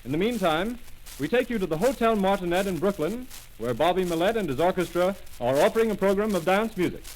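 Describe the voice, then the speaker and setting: An actor playing a studio radio announcer in a 1930s radio drama, reading bulletins in a measured, formal way, heard in an old broadcast recording. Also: male voice, high voice, expressive, slight background noise, low-quality recording.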